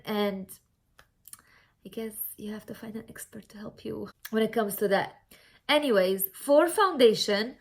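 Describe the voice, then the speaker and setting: A young woman from Syria with a Syrian Arabic accent, chatting casually and personally to viewers as she gives a makeup tutorial. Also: a little high. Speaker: beauty vlogger.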